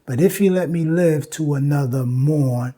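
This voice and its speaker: A man's voice: fairly high pitch, moderate pace, very noisy. A man giving an informal lecture with recited lyrics, speaking emphatically and repeating phrases for emphasis. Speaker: host and lecturer on music history.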